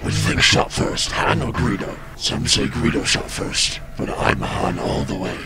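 A man's voice: moderate pace, over loud music, close to an everyday voice. A man voicing a lonely, chatty soul in purgatory, theatrical and rambling, in a parody game dub. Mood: overjoyed.

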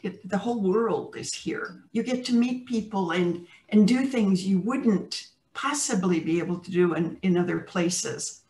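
An older woman with an American accent speaks thoughtfully and unhurriedly, pausing and restarting as she recounts her experiences. Her webcam sits some way off, so her voice carries a roomy echo, like a call from a home room. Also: mid-range voice, darkish tone. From United States. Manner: measured, thoughtful, with pauses and restarts. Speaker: interview guest. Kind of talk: reflective storytelling on a video call. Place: home room with plants, indoors. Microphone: video call webcam. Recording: roomy video-call audio from a distant mic.

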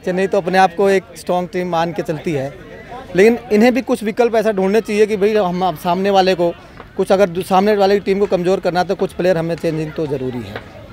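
A middle-aged man outdoors giving a relaxed, conversational opinion. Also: high-pitched, fast, a faint crowd. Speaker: interviewee. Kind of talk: casual opinion.

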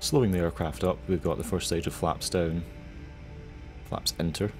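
A man's voice: sits low, steady tone, moderate pace, soft background music. A young man giving casual pilot commentary over his flight. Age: young adult.

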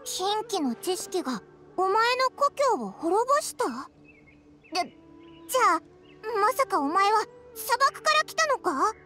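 A woman voicing a tiny, cheeky, excitable childlike fairy companion in an anime-style game, with blunt, boyish phrasing. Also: very high-pitched, unhurried, soft background music, full character voice. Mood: puzzled, startled. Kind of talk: Japanese anime-style game dub acting.

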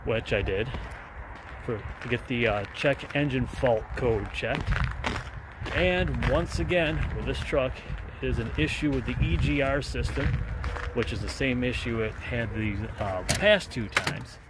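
A man in his forties, a truck driver vlogging casually to camera from his cab, talking in a relaxed, rambling way with plenty of "uh" fillers. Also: mid-range voice, unhurried, very noisy. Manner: easygoing, rambling, with many "uh" fillers. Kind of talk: casual vlog chat to camera. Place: inside a truck cab and outdoors in winter. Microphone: handheld or dash camera at arm's length.

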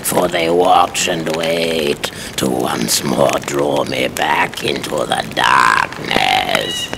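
A man playing the ghost of a hanged man, speaking in a deep gravelly whisper with slow, archaic, mocking phrasing. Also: heavy background noise, heavily acted. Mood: beckoning. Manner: slow, archaic, taunting with eerie laughs. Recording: loud music score behind.

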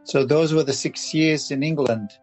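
A man in his fifties with a Balkan accent, talking casually and haltingly as an interview guest. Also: a little high, darkish tone, soft background music. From Bosnia and Herzegovina, former Yugoslavia. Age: 50s. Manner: halting, repeats words. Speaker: podcast guest. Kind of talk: casual interview talk, recounting.

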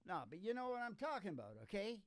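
A man's voice: high voice, sing-song, fast, fairly bright tone, no echo. A middle-aged or older man hosting a podcast, chatting in a casual, rambling way with blunt, salty, folksy talk into a close stand microphone. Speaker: singing DJ and podcast host. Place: small curtained music studio, indoors. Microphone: stand microphone close to the mouth.

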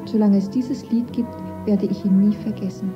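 A woman's voice: mid-range voice, fairly even intonation, moderate pace, lightly acted. An actress playing a young married woman in a satirical radio play, confessing a romance in a matter-of-fact way. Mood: confessional. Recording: dull old tape, music behind.

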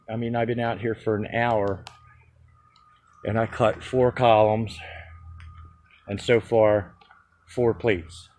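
A man's voice: mid-range voice, warm tone. A man walking through a steel-fabrication job outdoors while filming one-handed on a phone, talking practically and step by step. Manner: practical, explains step by step with fillers. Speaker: contractor showing a fabrication method. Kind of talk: casual how-to walkthrough. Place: backyard, outdoors. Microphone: handheld phone.

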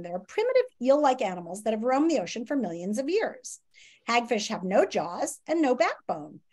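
A woman in her fifties reading a children's nonfiction book aloud in a dramatic, teacherly way with emphatic pauses, on a video call from a room at home. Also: on the high side, darkish tone. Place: small home room, indoors. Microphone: video call, computer microphone.

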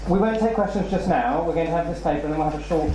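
A man chairs a seminar, introducing the next speaker in a loose, filler-heavy way. His fairly high voice rings in a very echoey room. Clear room noise sits behind him. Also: racing. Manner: informal, filler-heavy announcements.

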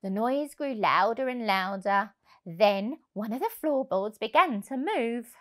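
Woman reading kids story, takes it slow, really animated, highish voice. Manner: expressive, deliberate. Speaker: childminder reading to children. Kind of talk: storybook narration for young children. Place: indoor room, on a sofa. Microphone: lapel mic.

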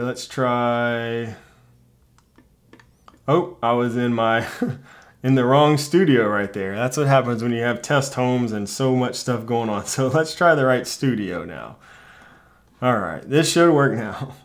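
A man's voice: mid-range voice, slow. A man in his thirties with an American accent, talking informally and thinking aloud as he hosts a tech tutorial. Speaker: tutorial host. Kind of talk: casual tech tutorial talk. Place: small indoor home studio.

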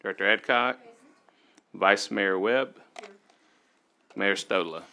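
Man reading a roll call at a council meeting; slow, crisp, bright, lowish voice. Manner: measured, announcing names one by one. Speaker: clerk calling the roll.

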